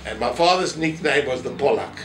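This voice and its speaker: An elderly South African man reminisces in an interview, telling anecdotes and acting out remarks. His medium-pitched voice comes from a little way off in an echoey room, with faint noise behind it. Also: expressive, a little fast, fairly bright tone. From South Africa, family from Poland. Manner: anecdotal, re-enacting quoted remarks. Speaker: interviewee, former synagogue office holder. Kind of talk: reminiscing in an oral history interview. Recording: roomy echo, distant mic, faint noise.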